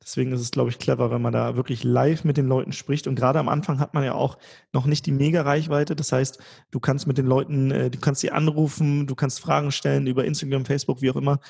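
A man hosting a podcast, asking questions in a relaxed, conversational way with fillers. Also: mid-range voice, quick pace, vocal fry, breathy edge. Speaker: podcast host. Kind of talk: casual podcast interview.

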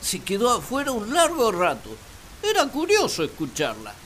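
A woman's voice: a little high, wildly swooping pitch, moderate pace, fairly bright tone, slight background noise. A woman playing a naive, grumbling first man who loves solitude, with whiny, exaggerated delivery in a radio drama. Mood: annoyed, puzzled. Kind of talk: radio drama monologue and dialogue.

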